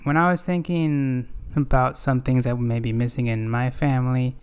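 Male podcast host, smooth and steady voice, earnest, thoughtful, halting at times. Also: mid-range voice. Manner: earnest, reflective, halting with fillers. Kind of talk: casual scripture discussion.

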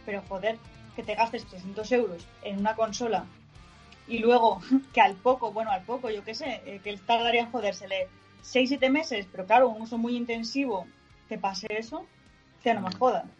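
A woman's voice: fairly high pitch, normal speed, dull audio, soft background music. Woman with a northern Spanish accent. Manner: animated, laughing, swears casually. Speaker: podcast co-host. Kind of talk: casual podcast chat.

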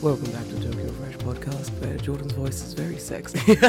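A young man co-hosting a casual podcast, his voice raspy and hoarse, laughing often as he chats. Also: medium pitch, a little fast, over loud music. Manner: laughs often, mock voices when joking. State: hoarse from karaoke. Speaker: podcast co-host. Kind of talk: casual chat.

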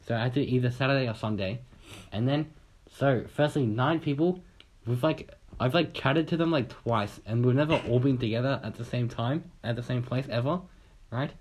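A man's voice: medium pitch, moderate pace, darkish tone, breathy edge, low-quality recording. A young man from Australia telling a long, rambling story in casual chat on a podcast, swearing casually and acting out what people said.

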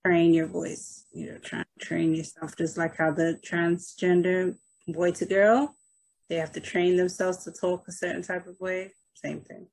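A woman's voice: lowish voice, flat delivery, a little slow, creaky, a little mellow, poor audio. A woman co-hosting a reaction show, chatting loosely, talking to viewers and trailing off mid-sentence. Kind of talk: casual chat reacting to a video.

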